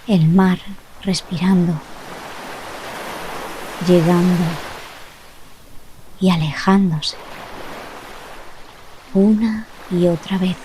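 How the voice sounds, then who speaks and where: A woman narrating a guided relaxation meditation, speaking gently and soothingly with long pauses between short phrases. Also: lowish voice, steady tone, slight background noise. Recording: loud ocean waves behind the voice. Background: sound of sea waves.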